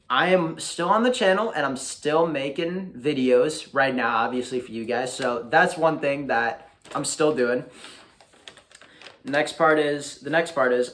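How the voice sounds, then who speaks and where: A young American man chatting casually to his viewers in a halting, informal way, thinking aloud as he goes. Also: medium pitch, fairly bright tone. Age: young adult. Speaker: YouTuber. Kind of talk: casual vlog chat to viewers. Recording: music playing behind the voice. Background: background beat music.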